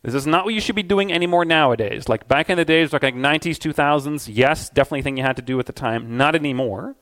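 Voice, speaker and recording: A middle-aged man giving an energetic, conversational conference talk on stage in a loud voice. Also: on the high side, fast talker. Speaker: conference speaker.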